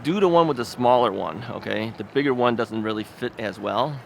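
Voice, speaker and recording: A man's voice: mid-range voice, expressive, a little fast, vocal fry. Rambling man vlogging outdoors. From United States. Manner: rambling and folksy, with filler words and frequent chuckles. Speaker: ebike video host. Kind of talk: casual chatty vlog while riding. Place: outdoors on a city street. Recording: outdoor street recording with traffic noise. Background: traffic and street noise.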